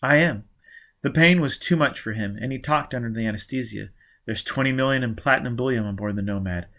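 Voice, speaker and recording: A man's voice: mid-range voice, fairly quick, bright, forceful tone. A man voicing a smug, scheming male surgeon in an adventure novel, self-satisfied and wry. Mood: matter-of-fact. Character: smug, scheming male underworld surgeon, proud of his skill.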